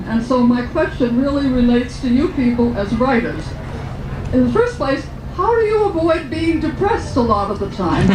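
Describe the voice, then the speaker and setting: Older female questioner, unhurried, noisy echoey room. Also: sits high. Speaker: audience questioner. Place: crowded tent. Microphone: handheld audience mic. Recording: echoey tent with crowd noise.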